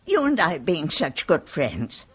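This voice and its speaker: A woman's voice: sits low, huge pitch swings, unhurried, breathy edge, full character voice. An actress playing a genteel, girlish, effusive woman of eighty-five with a British accent in a radio play. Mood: wistful, confiding. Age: 80s. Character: frail, genteel, giddy eighty-five-year-old widowed lady. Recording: dull lo-fi radio recording.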